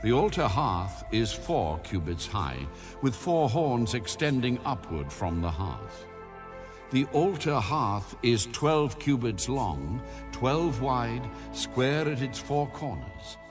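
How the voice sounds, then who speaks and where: A man reading scripture as a scripted narration in a solemn, measured and deliberate manner. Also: medium pitch, very animated, music in the background. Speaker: audio Bible narrator.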